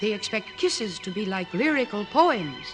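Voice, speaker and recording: A woman's voice: medium pitch, very animated, normal speed, lightly acted. An actress playing a reserved, clinical woman psychiatrist in a 1940s radio drama, earnest, formal and precise in speech. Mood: earnest lecturing. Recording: old radio broadcast, music behind.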